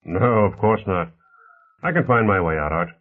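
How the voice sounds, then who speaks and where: A man's voice: lowish voice, normal speed, natural voice. A man voicing a sour, sardonic male city official in an audiobook, with a dry, terse manner. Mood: brisk. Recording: narrow phone-like band.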